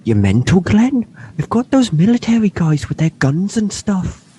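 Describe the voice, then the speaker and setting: Man playing a scoffing, animated uncle, mellow voice. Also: high-pitched, a little slow, breathy edge, heavily acted. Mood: incredulous. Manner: dismissive, teasing. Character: sceptical, scoffing uncle, down-to-earth and reluctant. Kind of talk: audio drama acting.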